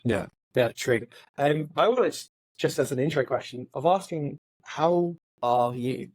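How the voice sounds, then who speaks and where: A man hosts a conversational podcast, encouraging his guest and often murmuring agreement while asking questions. His voice sits at an ordinary middle pitch for a man. The recording is dry and close. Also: expressive, unhurried, no echo. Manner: encouraging, frequent soft backchannels. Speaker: podcast host.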